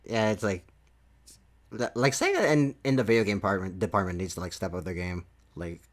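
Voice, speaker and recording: Low-voiced guy, halting casual talk. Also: expressive. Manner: halting, repetitive, full of "like". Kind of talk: casual podcast chat.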